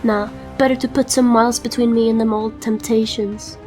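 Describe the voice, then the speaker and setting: A scruffy little rogue with hero pretensions, voiced by a woman. The talk is gruff, slangy and rough in grammar, pitched high for a fantasy comic dub, with faint music underneath. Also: level tone, moderate pace, a little mellow, natural voice. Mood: musing, self-satisfied. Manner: colloquial slurred vernacular with broken grammar. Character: scruffy uneducated thief, gruff, sly, would-be hero.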